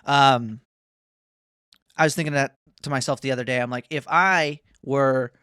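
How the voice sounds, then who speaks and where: Brief: man in his twenties, casual rambling vlog rant, heavy swearing, smooth clean tone, close and dry. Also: mid-range voice, steady tone, moderate pace, bright, forceful tone, no room sound. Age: 20s. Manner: profane, rambling, swears often; mocking, bragging, jumps between asides. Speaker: vlogger.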